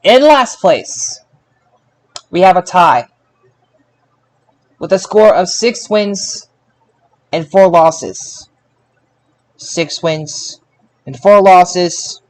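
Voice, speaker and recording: Guy hosting a sports results chat, kinda high voice, takes his time, halting delivery. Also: expressive, low-quality recording. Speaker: podcast host.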